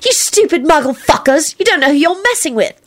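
Brash young witch, shrill and combative, from a woman reader. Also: lively intonation, brisk. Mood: triumphant. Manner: shrill, shouting, threatening. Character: fierce young witch, brash and hot-tempered.

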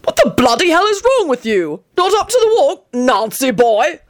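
An irritable, thundering man from a storybook, voiced by a woman in a raised, animated pitch. He is indignant and scolding, quick to bellow his outrage. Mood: exasperated, bellowing. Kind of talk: audiobook character voice.

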